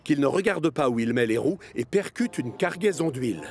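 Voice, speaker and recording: A man narrating a scripted children's story as an expressive storyteller. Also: medium pitch, normal speed. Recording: music behind narration. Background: music.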